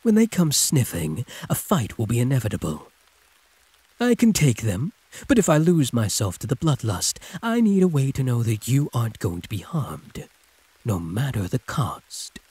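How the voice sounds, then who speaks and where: A man voicing a huge, possessive, teasing werewolf prisoner with a husky, breathy drawl, in an intimate audio roleplay. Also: medium pitch, big pitch swings, moderate pace, dark tone, no room sound. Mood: urgent, protective. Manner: drawling, teasing pet names, predatory purr.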